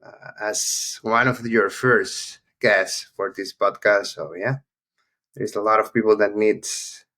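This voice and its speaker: A man's voice: fairly low pitch, fairly bright tone. A Venezuelan man with a Spanish accent, a journalist guest talking casually on a video call, friendly and peppered with "you know". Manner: friendly, speaks steadily with "you know" fillers. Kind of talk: casual interview.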